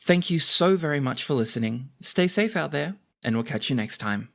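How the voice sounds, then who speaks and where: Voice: medium pitch, lively intonation, normal speed, vocal fry. A game master and host narrating a tabletop roleplaying podcast in a descriptive way, sometimes self-correcting.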